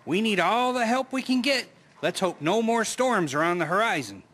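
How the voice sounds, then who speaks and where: A man's voice: high-pitched, expressive, moderate pace. A man playing a snowplow driver reporting on the roads in a historical radio drama. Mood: strained. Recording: noisy, with outdoor vehicle sound effects.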